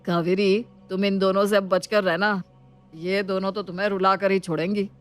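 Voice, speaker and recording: A woman's voice: mid-range voice, level tone, normal speed, natural voice. A woman voicing a stern, bossy middle-aged mother-in-law who speaks emphatically in a family story. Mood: warning. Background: music.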